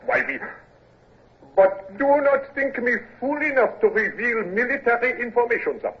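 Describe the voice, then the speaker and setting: A proud, boastful French major of engineers, taken prisoner and unable to stop bragging. His high voice bounces with animated swings in pitch. It comes through a thin, phone-like old radio recording. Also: normal speed. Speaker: French officer. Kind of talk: 1950s radio drama acting.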